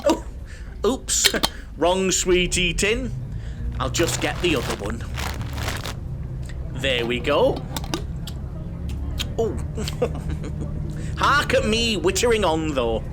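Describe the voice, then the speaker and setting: A man acting as a naive, chatty Northern English mother's boy in a radio play, with a high, very lively voice. He witters on in a homely, over-friendly way, with vehicle noise clearly behind him. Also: very slow, pauses often, full character voice. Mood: cheery, chatty. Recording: noisy, vehicle rumble behind.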